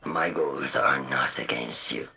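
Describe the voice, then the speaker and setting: A cold, haughty sci-fi game villain, with an abyssally deep, gravel-laden voice. The delivery is slow, formal and imperious, each word set down with disdain. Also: male voice, pushed and bright, exaggerated voice. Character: cold, haughty, otherworldly villain called the Conductor. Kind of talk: sci-fi video game voice acting.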